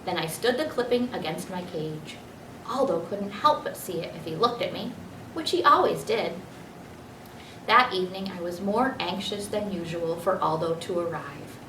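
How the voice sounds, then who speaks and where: A woman reading a children's storybook aloud in an expressive narrating style. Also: on the low side, normal speed. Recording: echoey room, distant mic, faint noise.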